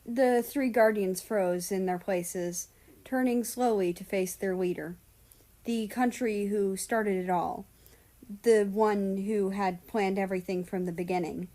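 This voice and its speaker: Story narrator: woman, mid-range pitch, unhurried scripted reading. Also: fairly even intonation, warm tone. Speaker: fan fiction reader, narrator.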